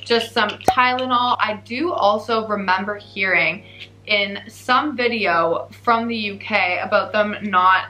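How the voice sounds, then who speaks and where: A woman's voice: medium pitch, measured pace, sharp tone, low-quality recording. A young Canadian woman vlogger with a Canadian accent, explaining items one by one in an upbeat way. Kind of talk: show-and-tell packing vlog.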